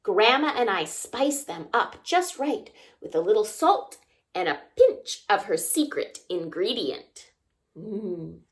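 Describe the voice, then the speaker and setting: A woman reading a children's picture book aloud expressively, pausing to ask young listeners simple questions. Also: medium pitch, very animated. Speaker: children's story time reader.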